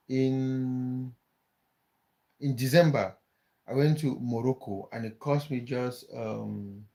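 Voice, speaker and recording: A man's voice: medium pitch, creaky, darkish tone. A man from Cameroon, hosting a casual live stream, chatting with viewers and often repeating words as he thinks aloud. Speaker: livestream host.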